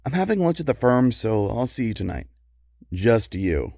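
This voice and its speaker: An actor playing a worried, long-suffering husband of a secret superheroine in an audio comedy drama. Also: male voice, medium pitch, big pitch swings, moderate pace, gravelly, mellow, a little hiss or hum. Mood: dry.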